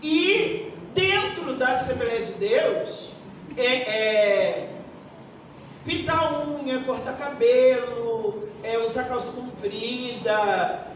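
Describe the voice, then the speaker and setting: A Brazilian woman of sixty-one answering on a forum panel like a pastor, deliberate and emphatic, searching for words as she goes. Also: a little high. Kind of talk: unscripted panel answer with preaching tone. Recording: echoey room, distant mic, faint noise.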